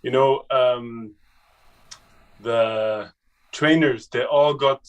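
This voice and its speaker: Casting: young man, Swiss-raised guest, casual, halting talk with restarts. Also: medium pitch, steady tone, clean tone, recorded from a distance, lo-fi sound. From Switzerland. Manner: halting, with many restarts and fillers. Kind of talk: casual conversation.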